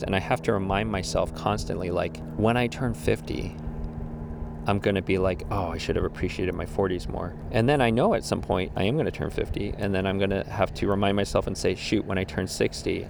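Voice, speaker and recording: A man's voice: low-pitched, vocal fry, background noise. A man in his forties musing casually about getting older, rambling with restarts and quoted asides. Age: 40s. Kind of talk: casual reflective monologue.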